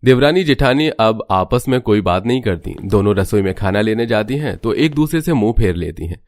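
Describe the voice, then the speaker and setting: A man narrating a cartoon family story in a steady, scripted storytelling manner. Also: on the low side, a little fast, dry recording.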